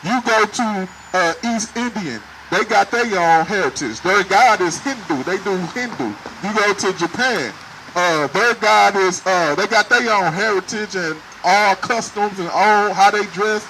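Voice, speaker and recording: Loud high-voiced street preacher man. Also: unhurried. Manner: loud, emphatic, questions passersby. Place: city street outdoors. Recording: noisy street with traffic.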